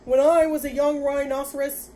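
A woman voicing a vain, angry, weepy old male rhinoceros in a storybook, loud and emphatic, with noise behind. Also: sits high, level tone, moderate pace, voice pushed up, lightly acted. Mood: self-pitying. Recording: clear background noise.

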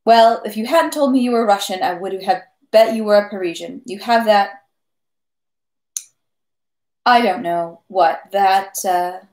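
A woman's voice: medium pitch, a little slow, pauses often, reverberant, natural voice. A woman reading as a merry boastful French captain. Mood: expansive. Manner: lively, talks ceaselessly, good-natured bragging. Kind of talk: audiobook character reading.

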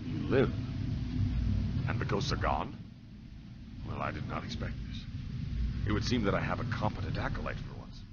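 Gruff, deep-voiced scornful overseer. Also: male voice, expressive, moderate pace, pauses often, highs cut off, heavy background noise. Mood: intrigued. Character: harsh, scornful male overseer of assassin recruits. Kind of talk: video game voice acting.

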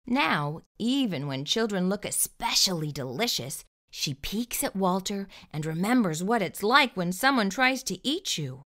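Lady reading a kids book out loud, bright voice, really expressive, pitch goes up and down. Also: unhurried, hardly any pauses. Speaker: storybook read-aloud host. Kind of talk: children's storybook narration.

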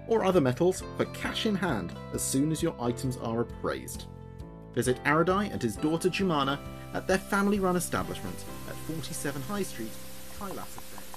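A man playing a chatty late-night local radio DJ, with a measured presenter's delivery. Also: on the high side. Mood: promotional. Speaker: radio host. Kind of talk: scripted late-night radio show narration.

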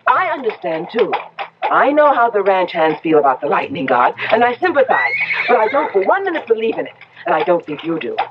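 Actress as a dramatic young ranch wife, old radio sound. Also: female voice, mid-range voice, sing-song, brisk, lo-fi sound, noisy background, close to an everyday voice. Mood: defiant, firm. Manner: dramatic, emotive, carefully enunciated. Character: young ranch wife, headstrong yet uneasy narrator. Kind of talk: 1970s radio drama acting and first-person narration. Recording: band-limited old radio broadcast, music underneath.

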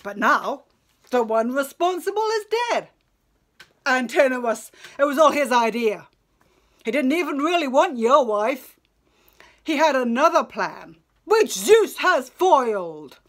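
Woman doing a scared wheedling suitor guy, glib and urgent, high pitched, epic tale. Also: sing-song, slow pace, exaggerated voice. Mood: pleading. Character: wheedling, frightened male suitor pleading for mercy.

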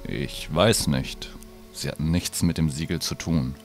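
A man's voice: rumbling low, fairly even intonation, measured pace, soft background music. An actor playing a stern, greedy old druid, curt and commanding, absorbed in his magic. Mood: pondering.

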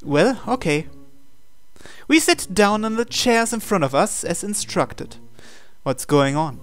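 A let's play host reading a visual novel's hero lines and narration aloud in English, in a plain, unhurried way. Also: mid-range voice, expressive.

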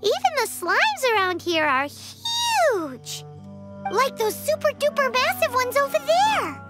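A woman voicing a small, childlike fairy companion in an anime video game, excitable and cheeky, with squeaky, exclamatory delivery. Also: slow pace, heavily acted. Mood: awed, explaining. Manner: exclamatory, exaggerated cartoon delivery. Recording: game music behind the voice.

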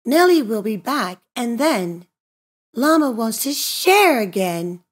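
A woman reading a children's picture book aloud in an expressive, deliberate, sing-song way for young listeners. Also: a little high. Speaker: storybook reader and host of a read-aloud channel.

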